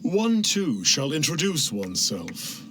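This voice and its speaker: An actor playing a grandiose, self-styled immortal sage in a video game, speaking in a pompous, archaic, theatrical manner. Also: male voice, fairly high pitch, wildly swooping pitch, takes it slowly, over music, full character voice. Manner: pompous, archaic, calls himself "one".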